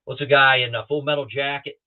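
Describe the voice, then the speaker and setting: A man's voice: mid-range voice, fairly quick. Rambling storyteller man on a tinny line. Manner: rambling, animated, with many restarts and acted-out quotes. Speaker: guest pilot telling stories. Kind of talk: casual storytelling on a talk show.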